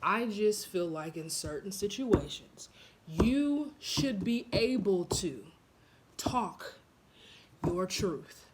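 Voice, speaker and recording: A woman's voice: mid-range voice, slow, a little hiss or hum. A young woman speaking casually to camera in a blunt, chatty vlog. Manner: blunt, animated, chatty with laughs and asides. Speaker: vlogger.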